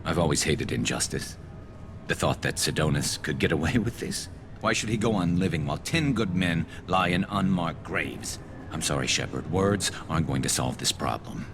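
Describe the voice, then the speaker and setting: A man's voice: rumbling low, lively intonation, normal speed, noisy background. A man playing a grim, vengeful alien soldier turned vigilante in a video game, speaking in a hard, terse, brooding way. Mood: bitter, resolute. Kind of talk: dramatic video game acting.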